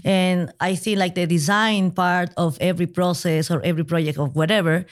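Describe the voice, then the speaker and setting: Casting: female interview guest, Peruvian Spanish accent, fairly low voice, conversational and earnest. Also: fairly even intonation, moderate pace. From Peru. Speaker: podcast guest, legal designer. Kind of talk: casual interview talk.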